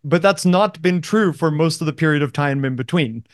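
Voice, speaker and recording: A man in his thirties, relaxed and easygoing as an interview guest. His voice is smooth and resonant, sitting a little higher than most men's. He often stumbles over his first words before his thought gets going. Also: normal speed, no echo, clean studio sound. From Norway. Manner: relaxed, repeats words when starting sentences. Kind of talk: casual remote interview.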